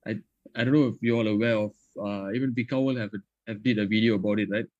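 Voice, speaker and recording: A man's voice: fairly low pitch, steady tone, a little mellow, no room sound. Nineteen-year-old Malaysian English-accented male guest; hesitant, restarting speech; dry, muffled recording. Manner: halting, many restarts and fillers. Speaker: podcast guest, student. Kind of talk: casual podcast chat.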